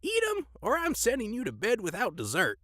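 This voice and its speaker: A man's voice: high voice, huge pitch swings, brisk, fairly bright tone, dry recording, clean studio sound, heavily acted. A man playing a cantankerous, folksy old grandpa in an audio drama, blustering with old-timey exclamations. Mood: gruff but caring.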